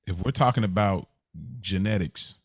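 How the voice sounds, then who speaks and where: A man with a lowish voice speaks as a podcast co-host in casual, slangy conversation. He sounds earnest and repeats phrases to drive a point home. The recording is close, with very little room sound. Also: normal speed, dry recording.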